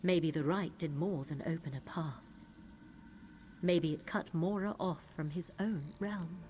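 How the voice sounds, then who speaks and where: An actress playing a grave, troubled woman mystic in a fantasy video game, speaking in a measured, formal way. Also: low voice, darkish tone, a little hiss or hum. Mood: grave, urgent. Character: grave, troubled woman mystic serving an eldritch fate god.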